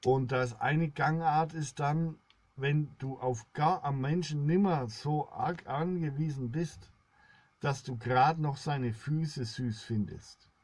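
A middle-aged man rambling to his viewers in a solemn, halting monologue, recorded indoors at about arm's length. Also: a little high, darkish tone, dry recording. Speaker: blogger, solo video host.

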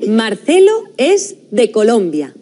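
Woman, young, Spain accent, TV presenter, high, lively, slow. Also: soft background music. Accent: Spanish from Spain. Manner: clear, deliberate, lively. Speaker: TV course presenter. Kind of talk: scripted educational presenting. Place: TV studio. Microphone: studio mic, framed close.

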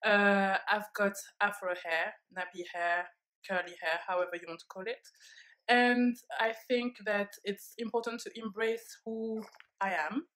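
A woman's voice: medium pitch, steady tone, unhurried, a bit bright, poor audio. French woman chatting candidly to camera. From France. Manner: candid, reflective, with frequent fillers. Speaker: vlogger. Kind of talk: casual talk to camera. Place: living room, seated on a leather sofa.

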